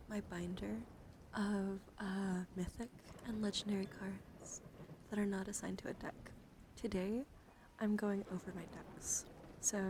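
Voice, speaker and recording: Woman in a noisy ASMR livestream. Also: medium pitch. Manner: drifts between whispering and soft chatty speech, playful. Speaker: livestream host. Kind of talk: ASMR card showcase with casual chat to viewers. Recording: clearly noisy background.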